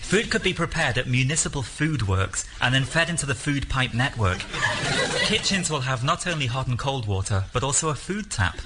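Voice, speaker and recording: Male contestant, medium pitch, earnest persuasive delivery, heavy background noise. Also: sing-song, normal speed. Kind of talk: earnest pitch, then unscripted defence. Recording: very noisy live show recording.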